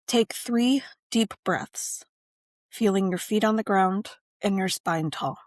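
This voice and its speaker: A woman narrating a scripted guided meditation and ritual in measured, deliberate phrases with frequent pauses. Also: medium pitch, no room sound, clean studio sound.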